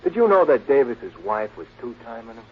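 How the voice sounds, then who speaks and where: An actor playing a sly, greedy private detective, cagey and drawling, in a 1940s radio drama. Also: male voice, mid-range voice, sing-song, brisk, close to an everyday voice. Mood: cagey, bargaining. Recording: muffled, noisy 1940s radio recording.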